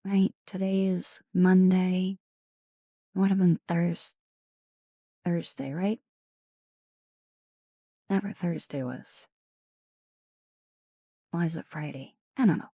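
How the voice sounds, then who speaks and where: A woman with a raspy, somewhat low voice chats softly in an ASMR stream, often sinking into whispers. Her delivery is slow and unhurried, with a mellow, dark tone. The sound is dry and close. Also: fairly even intonation, no room sound. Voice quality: raspy, deeper than usual. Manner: soft-spoken, often drops into whispers; chatty, greets and thanks viewers. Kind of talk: casual ASMR live-stream chat.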